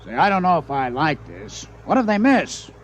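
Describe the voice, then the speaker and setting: A man's voice: on the high side, sing-song, normal speed, a little hiss or hum. An actor playing a brash, shady gambling-house boss with blunt, slangy tough-guy talk in a radio comedy. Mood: excited, uneasy. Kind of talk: mid-century radio comedy acting.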